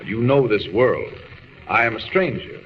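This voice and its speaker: Old radio superhero, solemn and commanding, pitch swings a lot, muffled echoey recording. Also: male voice, animated, measured pace. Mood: solemn, earnest. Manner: solemn, declarative, formal. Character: noble, earnest alien superhero man, calm and commanding. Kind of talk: 1940s radio acting. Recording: muffled, noisy, reverberant vintage radio recording.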